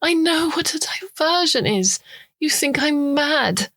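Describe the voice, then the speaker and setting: A woman voicing an arrested woman, urgent and hushed, with a sharp tongue. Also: mid-range voice, big pitch swings, moderate pace, slightly rough, no echo, studio quality, pitched above the performer's own voice, heavily acted. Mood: defiant. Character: arrested woman, distressed and defiant.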